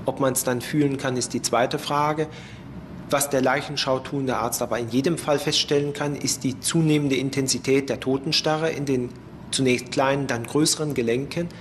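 A man explaining as a forensic medicine expert in an interview, measured and precise in technical wording. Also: mid-range voice. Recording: noticeable faint background noise. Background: faint ambient noise.

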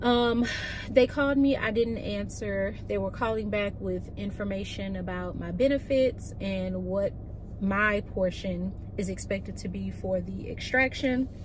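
A woman in her thirties chatting casually to camera from her car, animated and talking with her hands. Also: medium pitch, a little slow, low-quality recording. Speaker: vlogger. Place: parked car, daytime. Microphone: phone on the dashboard. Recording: loud car and traffic noise. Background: car and road noise.

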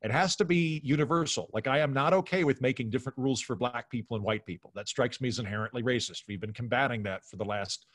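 A man's voice: medium pitch, quick pace, hardly any pauses, fairly bright tone, dry recording. A middle-aged American man chatting casually and giving his opinions as a podcast guest on a video call. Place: home room on a video call.

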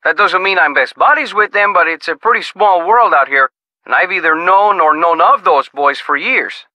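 An actor playing an easygoing, skeptical small-town sheriff in a video game, with a folksy, casual manner. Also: male voice, sits high, no room sound, dull audio, lightly acted. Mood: dismissive, easygoing. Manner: folksy, casual, drawn-out reactions. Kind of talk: video game character dialogue.

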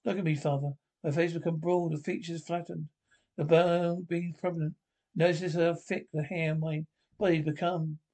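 A man acts out a troubled young man in a radio horror play, a test subject who stays resolute through horror. The voice is mellow and gravelly, and the lines come out haltingly. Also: a little high, little pitch movement. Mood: horrified. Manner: halting, stumbling delivery. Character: troubled young man, son turned experiment subject, horrified yet resolute. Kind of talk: amateur reading of 1940s radio horror script.

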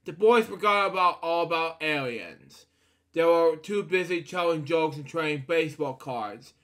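A man reading a bedtime storybook aloud in a halting way, often breaking off to comment. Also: fairly high pitch, fairly bright tone. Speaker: storybook reader. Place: indoor room at a computer.

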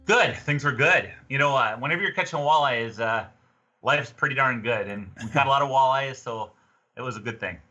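A man's voice: medium pitch, fairly quick, sharp tone, faint music behind. A middle-aged man in his fifties chatting in a folksy, casual way as a guest giving a fishing report over a video call. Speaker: podcast guest, fishing tourism representative. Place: small room indoors, on a video call. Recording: dull, lo-fi video call audio.

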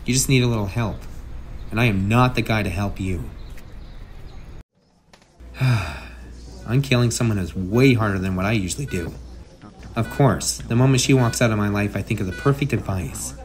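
Masked comic antihero, played by a man, sarcastic, brash, theatrical, medium pitch, some background noise. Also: close to an everyday voice. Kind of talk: comic book dub, character acting.

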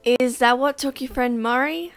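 A woman's voice: high-pitched, moderate pace, dry recording, soft background music. A woman voice acting a questioning, determined girl on a spaceship in an amateur game roleplay. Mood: curious.

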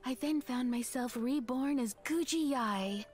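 High-pitched deferential young assistant. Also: female voice, a little slow, a little mellow. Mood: earnest. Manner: polite, earnest. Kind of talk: anime game trailer narration and dialogue. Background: faint trailer music.

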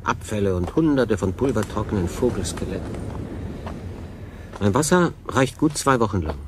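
An actor playing a wry, ailing stranded sailor who talks to himself in a radio drama, with noise behind the voice. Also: male voice, on the low side, expressive, moderate pace, close to an everyday voice. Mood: grim.

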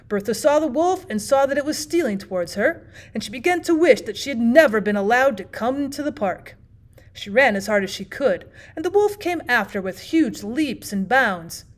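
Higher-voiced woman reading a story aloud. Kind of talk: expressive audiobook narration for young listeners.

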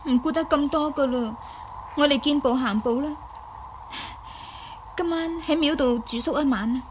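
A woman as a high-voiced, bold young swordswoman. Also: lightly acted. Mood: urgent. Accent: Hong Kong Cantonese. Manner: assertive, quick-witted. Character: bold, shrewd young swordswoman, protective of her companion. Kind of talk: Cantonese radio drama acting. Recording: faint background noise, old radio drama.

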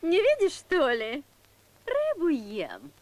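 A woman's voice: very high-pitched, huge pitch swings, slow pace, background noise, heavily acted. A woman voicing a sly, haughty female fox in a puppet cartoon, with a mock-sweet, dismissive manner. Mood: smug, dismissive.

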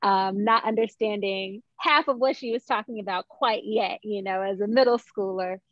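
A young adult woman telling personal stories in a reflective way, with thoughtful, measured phrasing. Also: mid-range voice, no room sound. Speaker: guest playwright and poet. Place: small room at home, on a video call. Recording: dull, muffled video-call audio.

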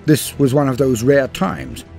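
A man reading a scripted war story as a dramatic narrator, grave and theatrical, in measured phrases. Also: mid-range voice, fairly even intonation, creaky, a little mellow. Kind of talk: dramatic scripted lore read-through. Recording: voice-over with music behind. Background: music.

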